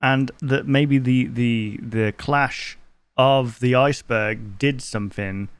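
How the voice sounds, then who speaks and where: A man's voice: mid-range voice, fairly even intonation, slow, smooth, dry recording. A man in his twenties giving casual reaction commentary as a YouTube host, close to a desk microphone in a bedroom. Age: 20s. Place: bedroom, indoors.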